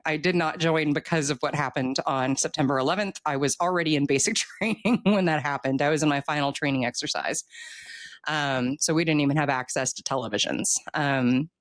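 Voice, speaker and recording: An American woman in her forties talking casually as an interview guest, telling stories in an animated way. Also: low voice, a little fast, no echo. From United States. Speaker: author guest, military veteran.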